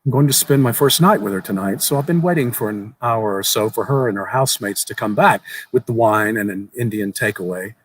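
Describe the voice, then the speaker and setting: A man's voice: lowish voice, fairly quick, husky, no room sound, low-quality recording. A man playing a skeptical, curious young man who humors and questions, slightly uneasy, in a horror story reading. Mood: easygoing.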